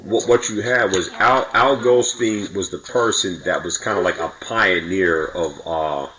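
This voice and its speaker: A man's voice: low-pitched, measured pace, pushed and bright, low-quality recording. A man talking casually as a podcast guest, giving hedging, roundabout explanations and recollections.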